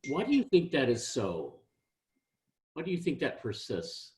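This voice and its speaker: A man co-hosting, reading out an audience question in pausing pieces, in roomy, echoing sound. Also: medium pitch, wildly swooping pitch, darkish tone. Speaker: co-host.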